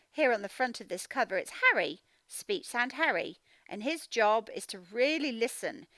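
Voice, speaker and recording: A woman teaching a children's reading lesson, expressively reading aloud and sounding out words slowly. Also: on the high side, bright, forceful tone, no echo. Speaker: phonics teacher.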